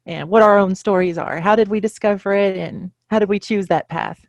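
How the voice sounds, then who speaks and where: A woman's voice: medium pitch, fairly even intonation, brisk, no echo. Woman moderating a panel.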